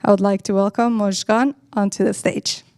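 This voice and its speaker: A woman's voice: mid-range voice, fairly even intonation, normal speed, darkish tone, clear, resonant tone, no echo, clean studio sound. A woman hosting a conference and introducing a speaker on stage. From Iran.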